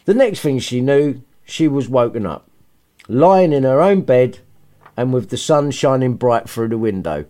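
A man's voice: medium pitch, lively intonation, a little slow, mellow. A man reading a children's story aloud and breaking off for sweary, sarcastic comments. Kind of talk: comic storybook reading with asides.

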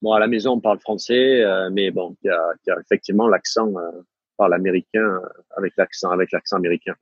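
A middle-aged man from the south of France with a southern French accent, answering interview questions in a halting way, with many fillers and repeated words. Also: low-pitched, fairly even intonation, husky, no echo, highs cut off. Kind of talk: casual interview talk. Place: living in the United States.

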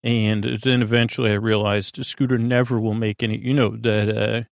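A man with a creaky voice hosting a bedtime podcast in a soothing, lulling, meandering monologue that halts and drifts into tangents. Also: fairly low pitch, little pitch movement, no room sound, studio quality. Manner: meandering, halting, trailing off into tangents and repeats. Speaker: host of a bedtime story podcast. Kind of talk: rambling bedtime monologue to lull listeners to sleep.

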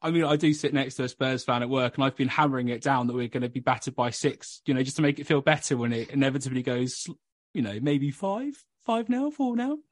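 A man's voice: mid-range voice, fairly quick, dry recording, clean studio sound. A man hosting a football podcast, chatting casually with blunt, pessimistic banter. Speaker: podcast host.

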